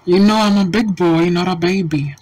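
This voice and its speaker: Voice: medium pitch, darkish tone, no echo, lightly acted, poor audio. A synthetic voice as a defiant cartoon boy gamer. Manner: defiant, mocking, slow stiff delivery.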